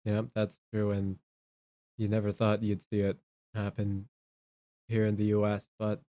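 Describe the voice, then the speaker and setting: Low-voiced man in casual political chat. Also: monotone, unhurried, warm tone, no room sound, studio quality. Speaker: talk show co-host.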